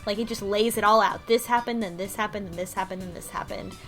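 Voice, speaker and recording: A woman's voice: mid-range voice, fairly even intonation, fast talker, a bit bright, poor audio. A woman with a mid-range voice talks casually as a podcast host, recapping a story for the group. She speaks in a loose, conversational style, with faint music playing underneath. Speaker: podcast book club host.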